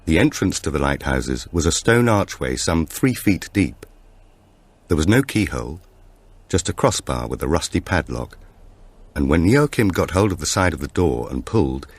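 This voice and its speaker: Very deep-voiced man narrating dramatically. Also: sing-song, slightly rough. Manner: measured, dramatic storytelling. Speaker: audiobook narrator. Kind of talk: scripted thriller narration.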